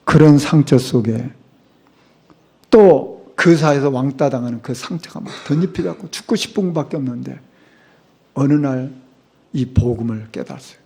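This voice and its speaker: A man's voice: mid-range voice, normal speed, vocal fry, long pauses, darkish tone, husky. A middle-aged man preaching a sermon as a pastor, speaking emphatically and asking the congregation rhetorical questions. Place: church sanctuary.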